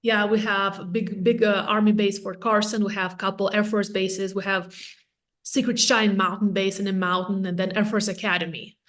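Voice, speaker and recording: A woman's voice: mid-range voice, normal speed. A woman in her thirties from Latvia with a Latvian accent, a real estate agent speaking candidly and energetically as an interview guest. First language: Russian or Latvian. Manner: candid, energetic, self-deprecating. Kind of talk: casual interview chat.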